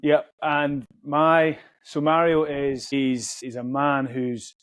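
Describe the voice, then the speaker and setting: A man's voice: a little high, flat delivery, takes it slowly, no room sound. Chatty man hosting a podcast. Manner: chatty, jokey, with fillers and restarts. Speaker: podcast host. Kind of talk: casual interview chat.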